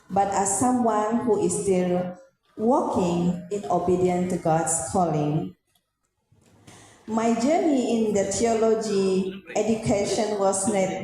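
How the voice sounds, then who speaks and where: A woman from Malaysia giving an earnest, formal thanksgiving testimony as a graduate, with a halting delivery. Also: mid-range voice, dark tone. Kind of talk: formal public testimony speech. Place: large indoor hall at a ceremony. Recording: echoey hall, long reverb, music behind. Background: music.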